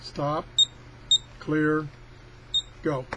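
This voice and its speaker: A man's voice: fairly high pitch, flat delivery, extremely slow. An older man casually demonstrating a product in a rambling, folksy, self-deprecating, grumbling way. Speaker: product reviewer. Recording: clearly noisy amateur recording.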